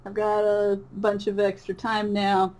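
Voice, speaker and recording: A woman's voice: medium pitch, monotone, unhurried, darkish tone. A woman chatting casually as an interview guest, with a giggly, hesitant way of talking. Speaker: interview guest, voice actor who makes comic dubs. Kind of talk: casual chat.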